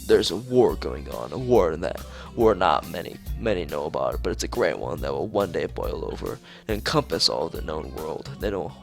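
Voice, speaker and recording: A man acts out a young conspiracy-obsessed schoolboy from a visual novel. He rants and pleads with urgent melodrama, his pitch leaping about over a slightly gravelly edge. Light music plays behind him. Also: very animated, normal speed, hardly any pauses, full character voice. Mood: grandly dramatic. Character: paranoid, ranting young male conspiracy theorist schoolboy. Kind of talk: reading visual novel dialogue aloud.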